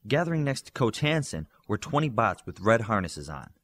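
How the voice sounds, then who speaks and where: Male audiobook narrator, lower register, highly varied intonation, telling an adventure story. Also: big pitch swings, a little fast, a bit bright.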